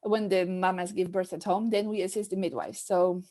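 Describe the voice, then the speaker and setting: A woman's voice: on the low side, little pitch movement, moderate pace, dark tone. A middle-aged woman from France with a French accent, speaking as a maternity nurse in an interview, hesitant with many fillers and restarts. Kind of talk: casual interview talk.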